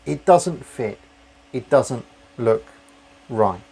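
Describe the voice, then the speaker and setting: A man's voice: medium pitch, lively intonation, very slow, creaky, mellow, slightly rough, full character voice. Goldilocks, a man doing a fussy young woman. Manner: fussy, complaining.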